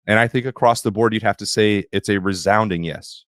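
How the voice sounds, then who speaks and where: A man hosting a sports podcast, slightly hoarse, talking in a wry, opinionated way. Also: lowish voice, fairly quick, fairly bright tone, no room sound, clean studio sound. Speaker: podcast host.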